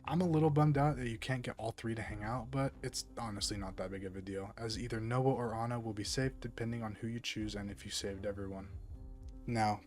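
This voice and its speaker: Low-voiced man, casual game commentary over music. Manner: informal, wry, profane asides. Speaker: video creator narrating a game review. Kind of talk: casual scripted video essay commentary. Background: music behind the voice.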